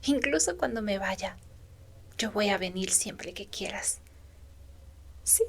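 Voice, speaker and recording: A woman whispers slowly and breathily in an ASMR roleplay, playing a gentle magical faun companion. She speaks tenderly and soothingly, straight to the listener. A light noisy ambience sits behind her voice. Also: mid-range voice, expressive, vocal fry.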